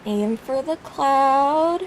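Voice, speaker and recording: A woman giving casual, excitable gameplay commentary, exclaiming and talking to herself as she plays. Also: sits high, extremely slow, slight background noise. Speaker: game streamer.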